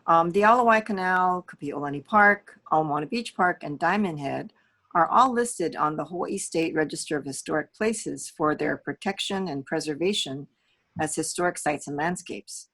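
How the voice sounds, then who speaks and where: A woman with a slightly low-pitched voice acts as a community interviewer. She reads out prepared questions, then clarifies them in informal, unscripted speech. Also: normal speed, a bit bright.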